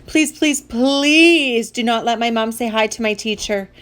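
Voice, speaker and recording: A woman's voice: on the high side, unhurried, a bit bright. A woman voicing an exasperated, embarrassed young boy in a children's story, whiny and dramatic. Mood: pleading.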